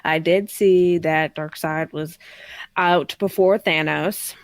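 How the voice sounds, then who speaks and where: Female co-host, lowish pitch, tentative conversational delivery on films, dry sound. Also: steady tone, takes it slowly, smooth, no echo. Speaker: podcast co-host. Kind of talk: casual chat about films.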